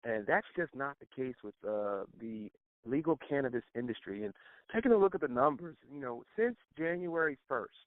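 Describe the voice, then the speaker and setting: Male co-presenter, medium pitch, semi-prepared sales talk over a narrow telephone line. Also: lively intonation, moderate pace. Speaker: co-presenter on an investment call. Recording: roomy, thin phone conference line.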